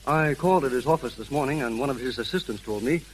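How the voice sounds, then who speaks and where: An actor playing an officious, effusively polite official with formal, wordy speech in a 1930s radio drama. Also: male voice, mid-range voice, fast, close to an everyday voice. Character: brisk, officious, overly polite foundation representative, adult man. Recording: hissy, crackling 1930s radio recording.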